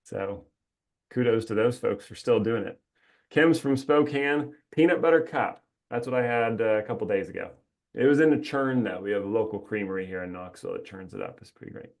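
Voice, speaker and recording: A man's voice: lowish voice, a little fast, vocal fry. Upbeat chatty man hosting a webinar. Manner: upbeat, rambling, laughs often. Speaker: webinar host. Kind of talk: casual chatty webinar welcome.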